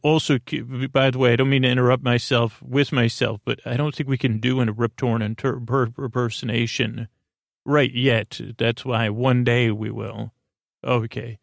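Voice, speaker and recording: A man hosting a sleep podcast in a creaky, soothing voice, meandering haltingly with stammers, repeats and tangents. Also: mid-range voice, level tone, dry recording, clean studio sound. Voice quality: creaky, gravelly. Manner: soothing, lulling. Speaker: podcast host. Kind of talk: rambling bedtime monologue to lull listeners to sleep.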